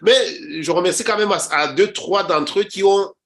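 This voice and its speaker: A man from Rwanda, middle-aged, hosts a political talk show as a journalist. His voice sits a little higher than most men's. He often halts, repeating words and starting over before pressing on with his commentary. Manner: halting, with frequent repeated words and restarts.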